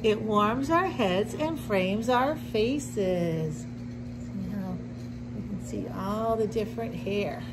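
A middle-aged woman reading a picture book aloud to young children, with deliberate simple phrasing and little asides. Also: medium pitch, heavy background noise. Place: indoor classroom with sink and counter.

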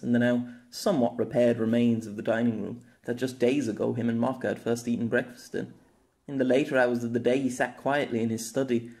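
A man's voice: medium pitch, mellow. Man narrating a fiction story.

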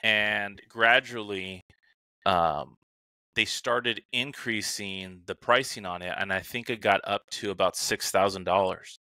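A man's voice: fairly low pitch, measured pace, pushed and bright, no room sound. A man co-hosting a podcast over a remote call, telling stories casually and acting out his inner dialogue animatedly. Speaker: podcast co-host. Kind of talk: casual podcast chat, anecdotal storytelling.

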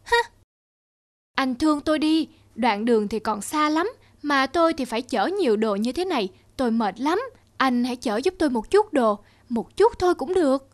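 A woman's voice: high-pitched, expressive, normal speed. A woman voicing a meek, exhausted donkey in a cartoon, pleading wearily. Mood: weary pleading. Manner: pleading, weary. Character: meek, exhausted, overloaded donkey begging for help. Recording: music under the voice.

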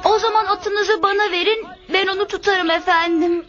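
A woman's voice: extremely high pitch, steady tone, normal speed. A woman voicing an eager, respectful young boy in a dubbed religious cartoon, polite but proudly insistent. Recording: dull lo-fi cartoon audio.

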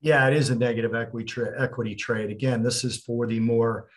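A man's voice: mid-range voice, fairly even intonation, brisk. A man co-hosting a podcast over a video call, asking long, rambling, explanatory questions with fillers. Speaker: podcast co-host. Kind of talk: casual interview questions.

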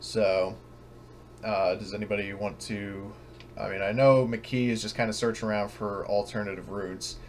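A man narrating a tabletop game as game master in a casual, halting, self-correcting way. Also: on the low side, little pitch movement. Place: at home, online voice session. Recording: clearly noisy home recording.

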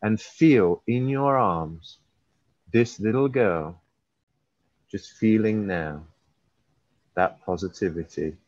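A man leading a guided hypnosis session as a hypnotherapist, using repetitive, drawn-out and emphatic suggestive phrasing. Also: fairly low pitch, darkish tone. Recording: roomy, slightly dull recording.

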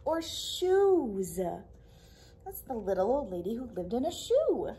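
A young woman reading a picture book aloud to small children, expressively, pausing for questions and little asides to her listeners. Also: on the high side, big pitch swings, dark tone. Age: young adult. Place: small indoor library room with a puppet theatre.

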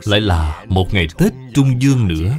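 A man's voice: lowish voice, expressive, moderate pace, a little mellow, soft background music. A man reading scripted moral narration for a cartoon.

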